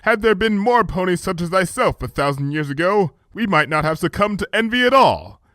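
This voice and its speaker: A man's voice: high-pitched, expressive, a little fast, a bit bright, no echo, studio quality, pitched above the performer's own voice. A man voicing a haughty pony princess in a storybook reading, declaiming grandly in archaic royal speech. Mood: regal, insistent. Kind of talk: storybook fan fiction character reading.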